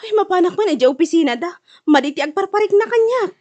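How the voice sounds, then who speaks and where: A woman's voice: very high voice, lively intonation, fast, darkish tone. A woman playing an anxious, aggrieved character in a radio drama, fretful and complaining, with an Ilocano accent. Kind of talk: Filipino podcast radio drama acting.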